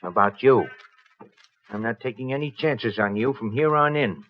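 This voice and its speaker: Actor as a menacing radio-drama blackmailer, muffled sound. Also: male voice, mid-range voice, normal speed, a little hiss or hum, natural voice. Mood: threatening. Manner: threatening, commanding. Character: menacing male blackmailing ex-convict, cold and threatening. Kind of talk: old-time radio crime drama acting. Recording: muffled vintage radio recording, music behind.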